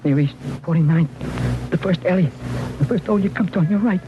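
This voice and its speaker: An actor playing a broken, fearful alcoholic informant in a 1950s radio crime drama, halting, repetitive and slurred. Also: male voice, a little high, noisy background, natural voice. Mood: haunted. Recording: muffled old radio recording, music behind.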